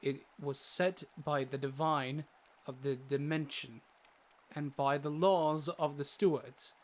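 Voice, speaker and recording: A man playing a learned, easily exasperated doctor, narrating a fantasy audio drama in a measured, earnest way. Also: on the high side, fairly even intonation. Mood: grave, measured. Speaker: narrator and lead character.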